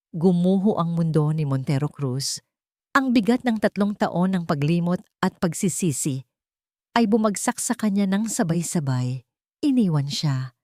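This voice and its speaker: Low-pitched Filipino woman telling a dramatic story. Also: mellow, no echo, clean studio sound. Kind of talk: dramatic scripted narration of a romance story.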